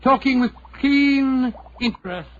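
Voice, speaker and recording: An actor playing Doctor Watson, a genial older British gentleman storyteller, avuncular and a little hesitant, in 1940s radio drama style. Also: extremely high pitch, a little slow. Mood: reflective. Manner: avuncular, hesitant, old-fashioned phrasing. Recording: muffled vintage 1940s radio recording.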